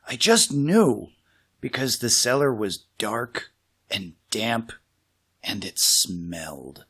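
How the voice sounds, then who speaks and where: A man reading a children's story aloud in an expressive, dramatic way with suspenseful pauses. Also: on the low side, husky, dry recording. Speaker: storybook reader.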